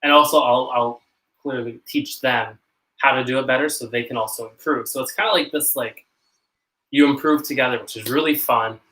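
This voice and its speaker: A young man with a North American accent talks to his camera at home in a loose live-stream chat. His tone is bright and forward, and he rambles a little, sounding self-conscious and breaking into quick laughs now and then. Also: medium pitch, fairly even intonation, low-quality recording. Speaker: channel host.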